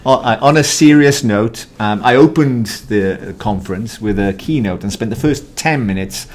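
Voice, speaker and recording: Low-voiced man telling a story. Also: moderate pace, darkish tone. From Wales. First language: Welsh. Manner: storytelling with playful asides. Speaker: podcast co-host. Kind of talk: conversational podcast chat.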